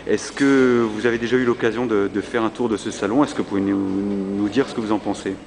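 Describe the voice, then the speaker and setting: A man with a fairly low voice conducts a podcast interview, hesitant and full of fillers. The ambient noise of a busy convention floor comes through clearly behind him. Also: fairly even intonation, fairly quick, darkish tone. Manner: hesitant, many fillers and repeated words.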